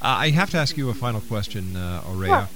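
A man hosting a radio talk show, speaking in a deliberate, earnest way. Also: on the low side, very animated, fairly bright tone. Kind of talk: radio interview and show announcements.

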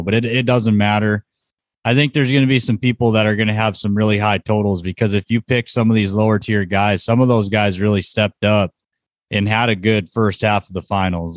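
Low-voiced man hosting, dry close sound. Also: no echo. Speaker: podcast host. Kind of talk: casual sports podcast chat.

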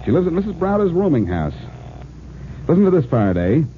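An actor playing a cocky, breezy, sardonic amateur detective in an old-time radio crime drama. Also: male voice, fairly high pitch, very animated, a little fast. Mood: wry, cocky. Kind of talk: 1940s radio acting. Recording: tinny, hissy old radio recording.

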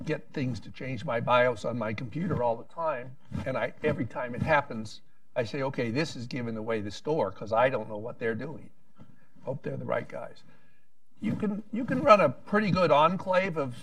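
A man's voice: mid-range voice, dry recording, far from the mic, rough recording. A man speaking on a policy panel, rambling with frequent restarts and wry asides.

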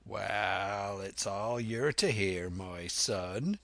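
A man's voice: fairly low pitch, drawn-out words, creaky, fairly bright tone, no room sound, heavily acted. A man voicing a commanding, threatening old pirate sea cook in a drawling for an audiobook. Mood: sly. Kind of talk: dramatic audiobook character voice.

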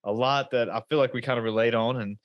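A man's voice: mid-range voice, fairly even intonation, fast, no room sound, muffled recording. A man hosting a podcast, introducing his guest with eager, stumbling casual talk full of restarts. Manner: enthusiastic, stumbling, many restarts. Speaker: podcast host.

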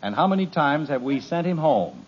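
A wry, exasperated radio dad. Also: male voice, fairly high pitch, sing-song, moderate pace, a little hiss or hum. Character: harried suburban father, dry and sarcastic. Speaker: father. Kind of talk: 1950s radio comedy acting. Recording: muffled old radio broadcast.

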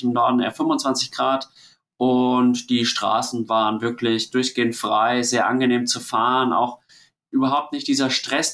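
A man from Germany hosting a casual spoken travel diary, relaxed and rambling, talking while he has a cold and is worn out. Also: mid-range voice. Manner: relaxed, rambling, chatty storytelling. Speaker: host of a travel diary podcast.

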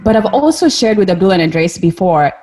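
A woman's voice: on the low side, lively intonation, fairly quick, a little mellow, faint music behind. A woman who grew up in Kenya talks frankly on a video call. She thinks aloud and sometimes stops to search for the right word. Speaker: discussion participant. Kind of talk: candid group video-call discussion.

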